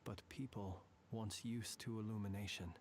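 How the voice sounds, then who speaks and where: An actor playing a calm male soldier who speaks in a measured, solemn way. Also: fairly low pitch, a little hiss or hum, natural voice.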